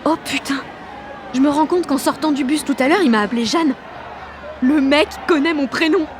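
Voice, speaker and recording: A high-pitched, excitable sixteen-year-old girl played by a woman tells her story in an audio drama. She gushes in slang, swears and mocks her own awkwardness. A clear street crowd and urban noise run behind her. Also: normal speed, natural voice. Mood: excited. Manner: gushing, slangy, swearing, self-mocking. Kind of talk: audio drama, slangy first-person inner narration and dialogue.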